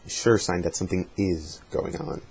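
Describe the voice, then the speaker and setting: A man giving a measured dramatic reading of a journal, sometimes stumbling over technical words. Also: sits low, steady tone, darkish tone, a little hiss or hum. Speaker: amateur reader of fan fiction journal.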